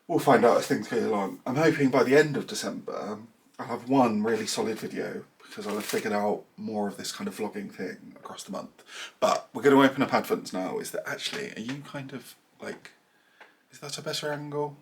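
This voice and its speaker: A man's voice: on the low side, a little fast, creaky, a little hiss or hum. A man from southern England with a southern English accent, chatting casually to camera in a vlog at home, rambling with self-deprecating asides and sounding a little nasal and bunged up. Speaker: knitting vlogger. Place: small cluttered room at home, indoors. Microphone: camera mic.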